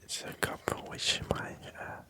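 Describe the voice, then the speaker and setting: A soft, breathy whisperer, rambling gently for ASMR with hesitant pauses and repeated phrases. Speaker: ASMR video creator.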